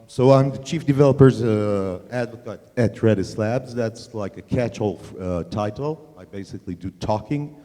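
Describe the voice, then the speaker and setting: A man's voice: lowish voice, warm tone. A middle-aged man with an Israeli accent giving a casual conference tech talk on a handheld mic, with lots of fillers. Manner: casual, self-deprecating, many fillers. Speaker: conference speaker. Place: large conference hall. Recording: long hall reverb.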